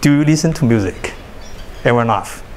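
A man whose native language is Chinese, giving a university lecture in a large hall, animated and often repeating words as he restarts sentences. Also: medium pitch, fairly quick, a little hiss or hum. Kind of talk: classroom lecture.

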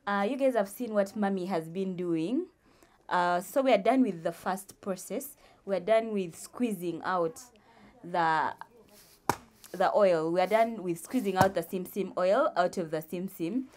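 A young woman casually explaining cooking for a vlog, deliberate and often repeating her phrases. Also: on the low side, darkish tone. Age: young adult. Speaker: guest vlog presenter.

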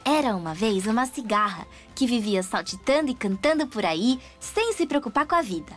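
A woman reads a children's story aloud with a high, lively, sing-song lilt. Her pitch moves a lot, rising and dipping as she tells it. Gentle music plays softly underneath. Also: moderate pace. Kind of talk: scripted children's story narration.

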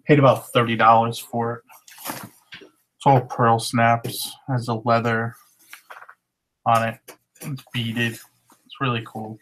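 A man in his thirties casually showing his thrift finds at home, in a relaxed, matter-of-fact way. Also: mid-range voice, slow pace, pauses often, a little hiss or hum, low-quality recording. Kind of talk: casual haul vlog. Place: living room at home. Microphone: webcam at desk.

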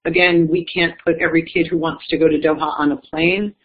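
A woman leading a foundation, explaining its programs in a measured panel talk with some self-corrections. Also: sits low, level tone. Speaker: executive director of an education foundation. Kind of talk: panel talk answering audience questions. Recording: tinny phone-like line.

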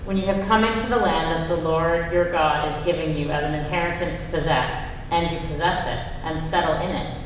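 A woman's voice: deep voice, a little fast, few pauses. A woman reading scripture aloud formally as a reader in a church service. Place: church, indoors. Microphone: microphone on a stand at lectern. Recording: echoey church, distant, faint noise.

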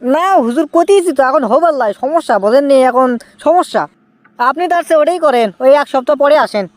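Man voicing a high-pitched whiny young Bogura husband. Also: expressive, fast talker, no room sound. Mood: pleading, evasive. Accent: Bogura Bangladeshi. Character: cheeky newlywed young husband, evasive and exasperated. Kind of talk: comic cartoon dialogue.

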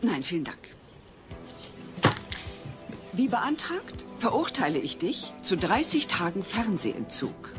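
A woman's voice: mid-range voice, big pitch swings, moderate pace, music in the background, lightly acted. An actress playing a no-nonsense mother acting as judge in a German comedy audio drama, firm and curt.

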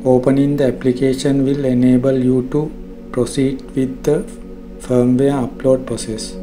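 Male narrator for a tech how-to: formal, measured, very flat intonation, clear music bed underneath. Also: medium pitch. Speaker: tutorial narrator. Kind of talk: scripted instructional narration. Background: background music.

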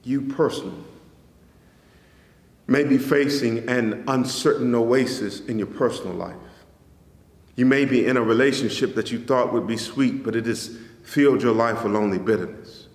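Man preaching in an echoey church. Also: mid-range voice. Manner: measured preaching, rising on key phrases, dropping soft at line ends. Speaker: pastor. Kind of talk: sermon. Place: church sanctuary. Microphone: stand mic in front, camera at a distance.

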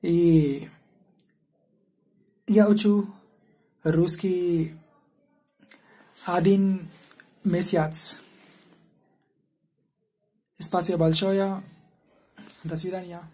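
Man, 20s, from India, beginner learner, slow careful speech, mellow tone. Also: high-pitched, steady tone, slightly rough. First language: not Russian. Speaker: language learner. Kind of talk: simple self-introduction to camera. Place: indoor room.

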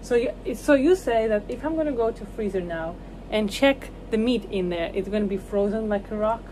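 A woman's voice: mid-range voice, normal speed, background noise. A young woman hosting a vlog. She talks casually and playfully to a phone held at arm's length in a small boat cabin, with English that is not her first language. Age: young adult. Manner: lively, playful, talks to camera. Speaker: vlog host.

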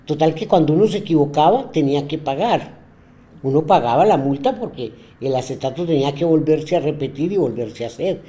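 A woman's voice: low-pitched, expressive, a little fast, dark tone. An older woman, reminiscing matter-of-factly in an interview.

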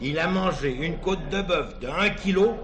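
A man's voice: high voice, normal speed, crowd noise, natural voice. An actor playing a jovial middle-aged self-made produce wholesaler and doting father in a French radio drama, colloquial and chuckling. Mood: proud, nostalgic.

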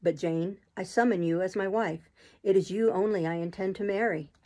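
A woman voicing a brooding, imperious gentleman in love, speaking in urgent, commanding declarations for a storybook. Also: high-pitched, moderate pace, lightly acted. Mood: earnest, commanding.

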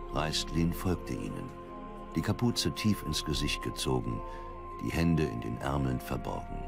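A man's voice: a bass voice, gravelly, heavily acted. A man narrating a fantasy audio drama in a dramatic scripted style. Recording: loud music behind the voice.

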